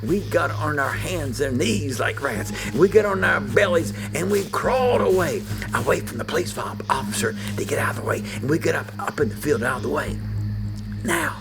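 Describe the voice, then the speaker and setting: Older man, gravelly voice, somewhat high pitch, animated folksy storytelling, music underneath. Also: moderate pace. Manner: animated, laughing often, repeats "you know what I'm saying". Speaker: storyteller, preacher.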